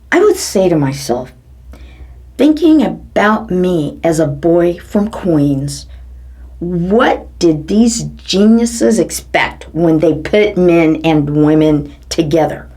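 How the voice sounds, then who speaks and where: A woman from the United States giving a theatrical satirical reading, swinging between low mutters and high exclamations. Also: a little mellow. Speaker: performance artist. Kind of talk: satirical dramatic reading of quotes. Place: home living room.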